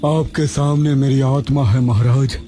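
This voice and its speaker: A man voicing the ghost of a loyal male tantric sorcerer, respectful and solemn, in an animated fantasy story. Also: mid-range voice, measured pace, slight background noise, lightly acted. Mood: solemn, reverent.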